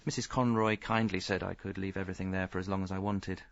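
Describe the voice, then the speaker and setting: An actor playing a rueful, self-pitying middle-aged husband, narrating his misfortunes deadpan in a radio comedy. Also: sits low, fast talker, gravelly, a little hiss or hum. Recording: slightly dull audio, faint music.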